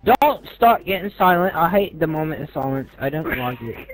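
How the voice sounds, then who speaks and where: A man's voice: on the high side, animated, moderate pace, soft background music, low-quality recording. A young man making casual, foul-mouthed and taunting prank calls. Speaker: prank caller.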